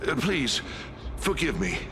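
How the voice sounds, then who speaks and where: A man's voice: mid-range voice, big pitch swings, takes it slowly, background noise. A man voicing an earnest, courteous ghostly soldier in a fantasy game, speaking formally and hesitantly. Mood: polite, apologetic. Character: earnest, courteous ghostly soldier trapped before his death.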